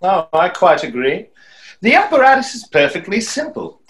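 A man's voice: sits high, very animated, moderate pace, a bit bright. A man in his thirties in a play reading, playing a suave conjurer with a dry, politely mocking manner. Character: suave, wry stage conjurer trading polite barbs. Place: small room, video call.

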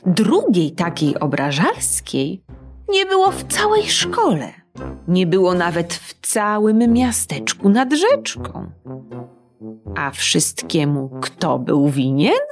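Brief: woman narrating a kids' fairy tale, leisurely and very melodic, soft music under the voice. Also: lowish voice. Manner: expressive, sing-song storytelling. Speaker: storyteller. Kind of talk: children's audiobook narration.

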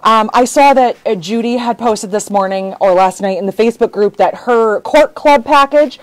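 Thirties woman, chatty shop owner on a live stream, quick to laugh. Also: a little high, no room sound, low-quality recording. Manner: chatty, laughs often, jumps between topics. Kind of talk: casual live stream chat. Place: indoor sewing shop studio with bags on shelves.

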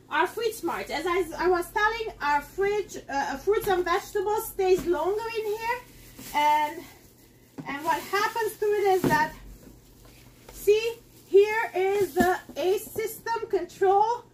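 High-voiced chatty woman demonstrating kitchenware. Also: far from the mic, a little hiss or hum, poor audio. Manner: chatty and excitable, talks straight to viewers. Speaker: host selling kitchenware. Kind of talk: live cooking demonstration. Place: home kitchen, indoors. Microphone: camera across the counter.

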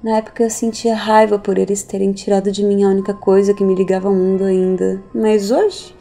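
Actress as a creaky-voiced, mocking, unhinged woman. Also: mid-range voice, flat delivery, fairly quick, warm tone, faint music behind. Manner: theatrical, sardonic, musing. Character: unhinged woman recounting her cultish family's cruelty, mocking, nihilistic. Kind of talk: audio drama acting.

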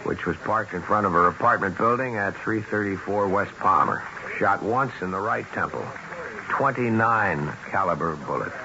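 An actor playing a skeptical, terse police sergeant in a 1970s radio drama, clipped and matter-of-fact. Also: male voice, low-pitched, expressive, moderate pace. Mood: clipped, factual. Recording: dull, noisy old radio broadcast.

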